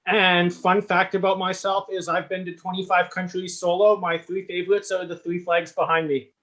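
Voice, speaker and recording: A man from Ottawa, Canada, gives a friendly introduction on a video call. His voice is high and holds an even pitch. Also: moderate pace. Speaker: game player.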